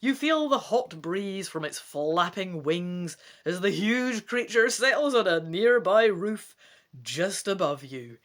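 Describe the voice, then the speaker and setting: Female gamebook presenter, highly animated intonation, theatrical and wry, equally at home in dramatic reading and chatty asides. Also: slow. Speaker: gamebook let's play presenter.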